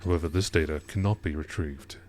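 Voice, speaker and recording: A man narrating scripted science-fiction lore entries in a measured, formal documentary style. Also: rumbling low, fairly even intonation, darkish tone, slight background noise.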